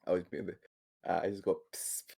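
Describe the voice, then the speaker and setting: Casting: male game player, deep mellow voice with fry, hesitant casual delivery. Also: level tone. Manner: hesitant, halting. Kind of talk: casual roleplaying game chat.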